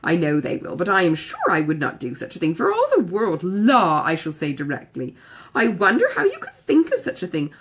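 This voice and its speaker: A woman voicing a silly, chattering young gentlewoman in an audiobook, gushing and exclamatory. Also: on the low side, huge pitch swings, a little fast, husky, full character voice. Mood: indignant, giggly. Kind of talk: audiobook character dialogue.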